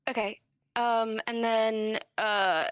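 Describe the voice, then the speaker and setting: Clear-voiced, tentative woman gamer. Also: fairly high pitch, fairly even intonation, slow pace, no echo, dull audio. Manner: tentative, questioning newcomer. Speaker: tabletop game player. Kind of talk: casual chat.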